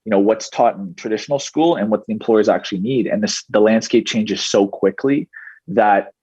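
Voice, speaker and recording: A young man in his twenties talks in a measured, explanatory way as a podcast guest. His voice is a little low and husky. The recording is dry and somewhat muffled. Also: a little mellow, dry recording. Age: 20s. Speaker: guest, digital marketing entrepreneur. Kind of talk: podcast interview answers. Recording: dull, muffled podcast audio.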